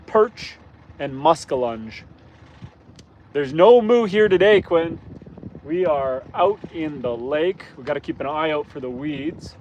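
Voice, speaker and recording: A man with a hoarse, fading voice, chatting easily with livestream viewers while he fishes from a boat on a rainy lake. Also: a little high, highs cut off. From Canada. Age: adult, father of young kids. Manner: easygoing, chatty, greets viewers by name. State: hoarse, losing his voice. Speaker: outdoors channel host. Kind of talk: casual livestream chat while fishing. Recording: windy outdoor stream with boat noise. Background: wind and boat motor.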